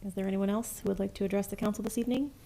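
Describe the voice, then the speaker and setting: A woman chairing a council meeting, calling for speakers in a brisk, procedural way. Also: medium pitch, steady tone, creaky, dark tone.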